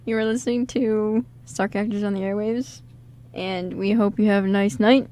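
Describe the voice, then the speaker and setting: A woman's voice: medium pitch, fairly even intonation, normal speed, warm tone. A young woman hosting a radio show with casual banter, other people chattering around her.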